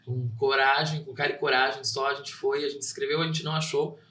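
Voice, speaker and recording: A young man talks about his theater work as a guest, earnest and heartfelt. His mid-pitched voice sounds roomy and a little distant from the mic. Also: level tone, a little fast, pushed and bright. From São Caetano, Brazil. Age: young adult. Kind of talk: interview answers.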